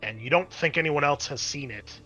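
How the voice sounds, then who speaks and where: A man's voice: a little high, a little fast, sharp tone, dull audio. A man narrating a tabletop roleplaying game as game master in a casual, conversational way, swearing freely.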